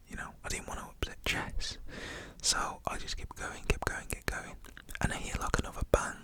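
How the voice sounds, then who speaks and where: A young man tells a personal story in a whisper, full of "you know" and "literally".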